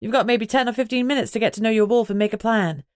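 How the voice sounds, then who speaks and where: A woman voicing a brisk, resolute female alpha werewolf in an audiobook, firm and instructing. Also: on the high side, no echo, studio quality, voice pushed up, lightly acted. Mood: rallying, urgent.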